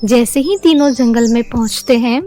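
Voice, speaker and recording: A woman's voice: a little high, moderate pace, mellow, faint music behind. A woman storyteller reading a scripted children's story as narrator.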